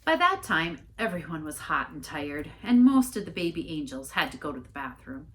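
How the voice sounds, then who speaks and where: A woman reading a storybook aloud in an expressive narrating style. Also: low voice, normal speed, slight background noise. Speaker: story reader, church staff member.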